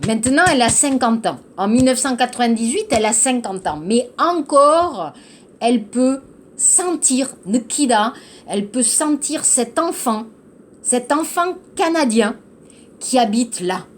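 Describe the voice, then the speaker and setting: A woman teaching to learners, explaining a text slowly and patiently, repeating key phrases and checking understanding. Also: on the high side, a little hiss or hum. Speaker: French teacher. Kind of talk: slow classroom explanation to learners.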